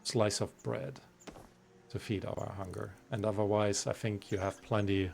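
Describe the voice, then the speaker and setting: A man hosting a gaming video in a low, creaky voice. He talks very slowly, musing casually over faint game ambience. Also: steady tone, a little mellow, soft background music. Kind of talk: casual let's-play commentary.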